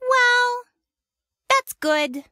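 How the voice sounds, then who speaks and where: A woman's voice: very high-pitched, very animated, extremely slow, sharp tone, dry recording, studio quality, exaggerated voice. A woman playing a perky, lovestruck, wisecracking detective agency secretary in an old-time radio drama, with gushing delivery.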